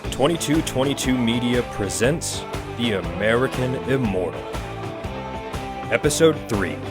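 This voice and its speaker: A man's voice: medium pitch, expressive, unhurried. A man reading scripted announcements as the announcer of an audio drama. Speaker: show announcer. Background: music.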